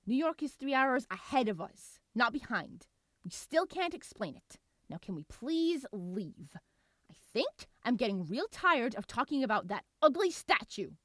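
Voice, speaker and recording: A woman's voice: a little high, sing-song, unhurried, a bit bright, no room sound, full character voice. A woman voicing an exasperated, sardonic senior lawyer and mentor in a comedic cartoon parody. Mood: impatient. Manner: dry, impatient, sarcastic.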